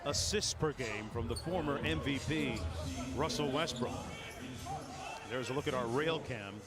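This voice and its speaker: A man's voice: mid-range voice, measured pace, music in the background. A man doing live play-by-play commentary of a basketball game for television. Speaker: play-by-play announcer.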